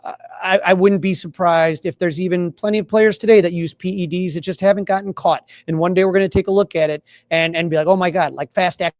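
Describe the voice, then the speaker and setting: A man's voice: high voice, steady tone, quick pace, few pauses, dry recording. A man co-hosting a sports podcast, giving opinionated, emphatic fan commentary in casual talk.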